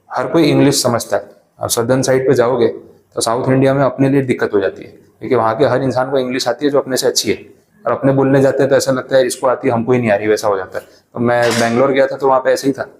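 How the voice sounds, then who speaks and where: An Indian man teaches a class in a roomy, echoing space. His pitch sits in the middle range. He chats through his points with everyday asides, then slows right down to read legal wording. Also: darkish tone, a little hiss or hum. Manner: chatty, explains with everyday asides, reads legal text slowly. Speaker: lecturer. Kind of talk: classroom lecture, Hindi-English mix. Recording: echoey classroom.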